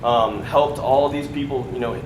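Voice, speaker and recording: A man's voice: mid-range voice, fairly even intonation, normal speed. Young American guy, casual stage testimonial, filler-heavy; roomy hall sound, audible background noise. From United States. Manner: casual, many fillers and "right?" tags. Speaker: speaker at a sales event. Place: large event room before an audience. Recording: echoey room with background noise.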